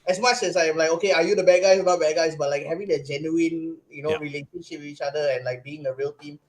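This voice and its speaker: A young man with a Singaporean accent, excitedly recounting a live event as a podcast guest, with lots of restarts and fillers. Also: fairly high pitch, fast, low-quality recording. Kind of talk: casual chat.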